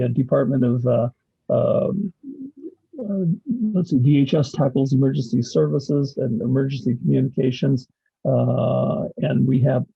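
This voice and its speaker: A man giving an informal instructional slide presentation, explaining things in a halting way with pauses mid-sentence. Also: mid-range voice, creaky, husky, highs cut off. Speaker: college instructor and radio club advisor giving a presentation.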